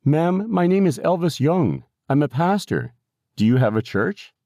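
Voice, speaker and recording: Young male pastor, read by a narrator: courteous, unsure of himself, hesitant, slightly lifted pitch. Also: sing-song, unhurried, mellow, no echo, clean studio sound. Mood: flustered.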